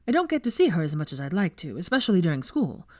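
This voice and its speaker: Woman as an amiable young man, low animated voice. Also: fast, no room sound, studio quality. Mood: happy, earnest. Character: amiable, sheepish, tipsy young man, a teacher.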